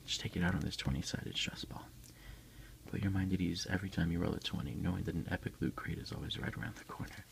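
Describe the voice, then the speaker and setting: A man speaking as an ASMR host, soft-spoken and unhurried, in a casual style. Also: low-pitched, gravelly. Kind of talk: whispered ASMR unboxing, reading aloud and chatting.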